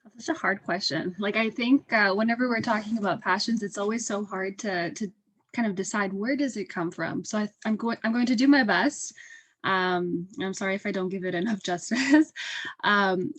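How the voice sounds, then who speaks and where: A woman's voice: mid-range voice, no room sound. Young woman speaking reflectively, dry sound. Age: young adult. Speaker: webinar panelist. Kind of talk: earnest spoken interview answer.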